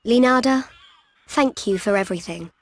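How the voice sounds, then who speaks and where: An actress playing a hopeful, sweet young heroine in a video game, with a breathy voice. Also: female voice, on the high side, moderate pace. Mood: grateful. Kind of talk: dramatic video game voice acting.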